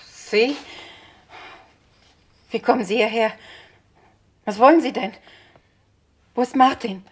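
A woman's voice: fairly high pitch, takes it slowly, husky. A woman playing a distraught, defiant young woman in a crime radio play, agitated and defensive. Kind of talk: mid-century German crime radio drama acting.

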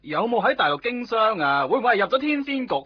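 An actor playing a pompous, excitable veteran policeman with a Hong Kong Cantonese accent in a radio drama, officious and blustering. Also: high voice, fast. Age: middle-aged. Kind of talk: comic radio drama acting. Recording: muffled radio drama recording.

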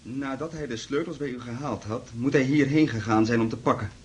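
An actor playing a calm, formal male police investigator in a radio drama, reserved and matter-of-fact. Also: medium pitch, fairly quick, husky, muffled recording, lightly acted.

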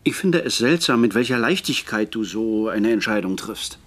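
A man's voice: lowish voice, expressive, moderate pace, fairly bright tone. An actor in a German radio drama playing a resentful, sardonic middle-aged brother, an indignant father.

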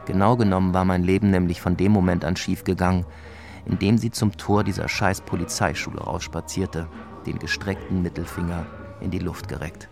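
An actor playing a disillusioned police officer who narrates an audio drama in a laconic, colloquial way. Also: male voice, low-pitched, steady tone, a little fast, vocal fry, darkish tone. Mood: weary, rueful. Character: disillusioned male police officer, wryly admiring storyteller. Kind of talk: scripted first-person narration, audio drama. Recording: music behind the voice.

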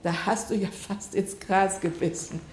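A woman's voice: medium pitch, fairly even intonation, normal speed, roomy sound, a little hiss or hum, lightly acted. A woman playing an insistent, probing mother. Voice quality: questioning, insistent. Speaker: mother recovering from heart surgery. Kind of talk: radio play acting.

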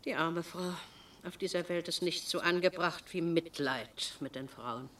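A woman's voice: fairly low pitch, unhurried, fairly bright tone, recorded from a distance, a little hiss or hum. A woman playing a domineering, bitter elderly retired schoolteacher in a radio drama, speaking in a pedantic, imperious way. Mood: bitter, lofty. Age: older. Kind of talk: German radio drama acting.

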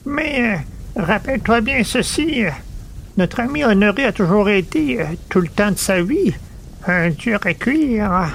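An actor playing a man reminiscing about an old friend, speaking in a slow, hesitant way, recorded from a distance with noise. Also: high-pitched, expressive, creaky, exaggerated voice. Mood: reflective. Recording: distant, noisy drama excerpt.

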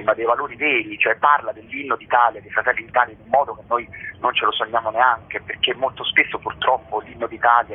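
An earnest man with a low, very raspy voice is being interviewed on the radio over a tinny telephone line. He explains with passion and leans on repeated words for emphasis. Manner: earnest, impassioned, emphatic repetitions. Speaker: stage actor, interview guest. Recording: muffled tinny phone line.

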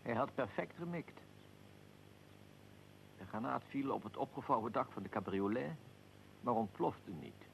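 An actor playing a dignified archduke in a radio drama, recounting events in a measured, formal, deliberate way. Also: male voice, medium pitch, expressive, creaky, a little hiss or hum, poor audio. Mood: grave recounting. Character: archduke, dignified aristocrat recounting his own assassination. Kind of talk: radio drama, recollection in interview form.

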